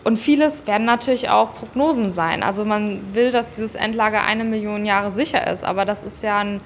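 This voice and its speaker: A woman with a mid-pitched voice answers interview questions spontaneously. She explains as a citizen volunteer would, in her own words. A faint ambient noise runs behind her. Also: a little fast. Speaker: interviewee, volunteer citizen member of an advisory body.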